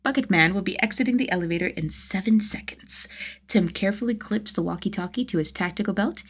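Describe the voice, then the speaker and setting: A woman reads fiction aloud in a mid-range voice. Her narration is scripted and expressive, leaning into dramatic emphasis as an audiobook-style storyteller. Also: medium pitch, brisk, husky. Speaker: fan fiction podfic narrator.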